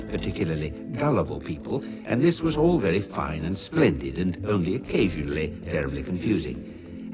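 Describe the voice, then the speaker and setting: An actor playing a wry, measured guidebook narrator, delivering scripted comic radio narration deliberately and drily. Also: male voice, sits low. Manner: deadpan, droll, deliberate. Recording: music behind the narration.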